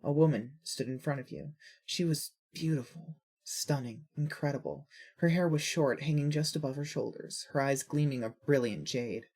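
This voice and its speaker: A woman with a husky voice reading scripted fiction as a narrator. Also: low-pitched, normal speed, hardly any pauses, a little mellow.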